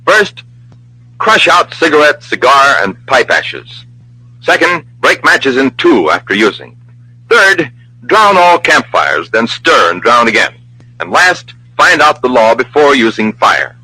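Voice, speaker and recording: A man's voice: mid-range voice, sing-song, measured pace, sharp tone, husky, no echo, rough recording. A man announcing a scripted public service message in emphatic, declamatory 1940s radio style.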